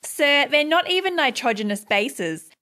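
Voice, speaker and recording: Woman playing high-voiced officious office worker. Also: expressive, a little slow, pushed and bright, heavily acted. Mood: officious, helpful. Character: eager, officious female office employee.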